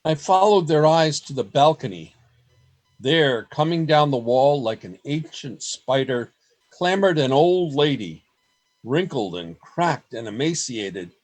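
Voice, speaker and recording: A man plays an earnest poet in a radio play. His husky voice is fairly high for a man and swings widely in pitch, at an unhurried pace with a theatrical touch. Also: lively intonation, a little mellow. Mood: eerie narrating. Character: earnest, wide-eyed male poet newcomer, narrating. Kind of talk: radio play acting and narration. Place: remote home recording over video call. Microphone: video call.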